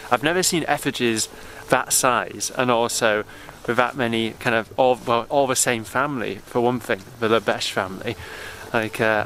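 A man's voice: medium pitch, fairly bright tone, poor audio. A man in his thirties with an English accent, chatting casually and enthusiastically in a rambling vlog style. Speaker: vlogger. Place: outdoors on country lanes, or inside a parked car. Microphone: handheld camera at arm's length. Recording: background noise outdoors and in car.

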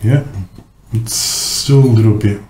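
A man casually explaining his soap making in a kitchen, relaxed and chatty with many pauses and ums. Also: lowish voice, echoey room. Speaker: soap maker. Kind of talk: casual how-to narration.

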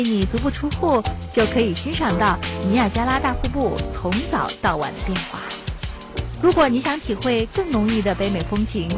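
A woman reading scripted narration for a television travel programme. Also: on the high side. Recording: music bed behind voice.

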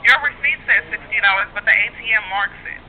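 A woman's voice: medium pitch, quick pace, over a noisy crowd. A woman working as a bank call center agent, handling a customer service phone call. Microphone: telephone. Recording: phone call with faint line noise.

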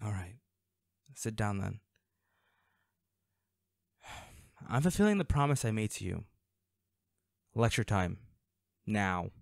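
Protective male bodyguard, played by a man for audio drama: emotional, halting, unhurried, with wide pitch swings. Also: big pitch swings, gravelly, no echo, clean studio sound, full character voice. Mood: stern, restrained. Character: protective, worried male bodyguard scolding a loved one. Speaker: audio roleplay voice actor. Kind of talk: intimate dramatic audio roleplay.

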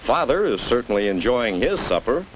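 A man with an American accent narrating a 1950s instructional film in a measured way, as if speaking directly to a child. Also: medium pitch, very animated. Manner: measured, addresses the child directly with rhetorical questions. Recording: dull, noisy vintage educational film soundtrack.

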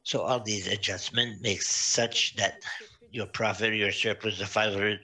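A man presenting financial results to a council, reading out figures with many pauses and fillers. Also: on the low side, steady tone, pushed and bright. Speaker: auditor presenting financial statements. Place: municipal council meeting over video link.

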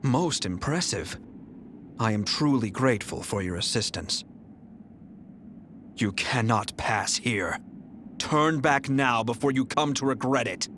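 An actor playing a cool, arrogant young male general in a video game, speaking in a calm, measured, haughty manner. His lines are clean studio game recordings. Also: mid-range voice, a little hiss or hum. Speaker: game character. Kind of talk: game voice clips.

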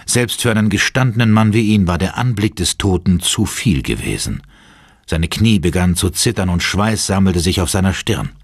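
A man's voice: low voice, animated, moderate pace, no room sound, studio quality. A man narrating a horror audio drama in a dramatic, scripted style.

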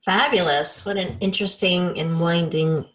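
A woman's voice: fairly low pitch, steady tone, moderate pace, fairly bright tone, low-quality recording. A middle-aged woman hosting a conversational interview, speaking into a desk microphone on a video call. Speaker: interview host. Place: home room indoors.

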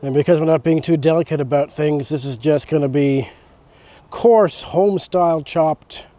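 Male host, thirties, higher-pitched voice, conversational hobbyist explainer, recorded outdoors. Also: moderate pace, warm tone. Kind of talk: casual hobby chat and cooking demonstration. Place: outdoors in a leafy backyard.

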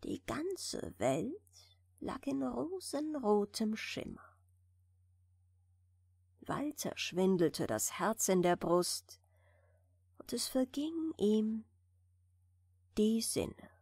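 A woman narrates a bedtime fairy tale in a gentle, soothing way. Her pitch moves expressively while the pace stays very unhurried, sometimes sinking toward a whisper. The recording is close and dry. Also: sing-song, no room sound. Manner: gentle, soothing, dropping at times to a breathy near-whisper. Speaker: audiobook narrator.

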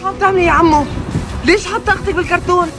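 Man acting fast-talking kind, concerned young man. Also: very high voice, fairly even intonation. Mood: concerned questioning. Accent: Levantine Arabic. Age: young adult. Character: concerned, kind young rich man helping street children. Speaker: actor in short moral skit. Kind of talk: acted social media skit. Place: outdoors in an urban car park. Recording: loud music over the voice.

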